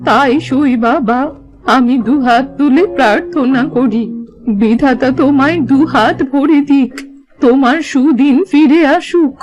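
Woman playing a slow, high-voiced old granny. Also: a little mellow, faint music behind, low-quality recording. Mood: grateful. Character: weary, grateful old village granny. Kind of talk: cartoon voice acting.

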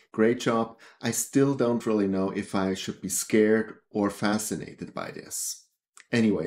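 A man's voice: on the low side, darkish tone. A man in his fifties with a Swiss German accent, hosting an astrophotography lesson in casual, chatty talk from a small home office on a headset mic. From Switzerland. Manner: chatty, gestures while talking. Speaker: astrophotography channel host.

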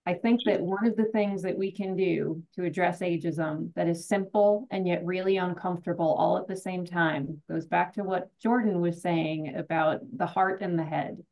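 A woman's voice: fairly low pitch, steady tone, normal speed, few pauses, darkish tone, rough recording. A middle-aged woman presenting on a video call, encouraging and direct as she urges listeners to act. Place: home office, indoors.

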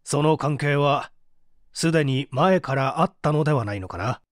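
A man reading dramatically as a formal prosecutor, questioning a suspect in a measured, pressing way. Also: on the high side, no echo, clean studio sound, close to an everyday voice. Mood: probing, stern.

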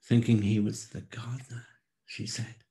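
Male, sixties, solemn theatrical Bible reader, very unhurried, gravelly fry. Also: mid-range voice, level tone. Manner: solemn, theatrical, with hushed pauses. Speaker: scripture reader. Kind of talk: dramatic Bible reading. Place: small room at home. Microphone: close studio condenser with pop filter.

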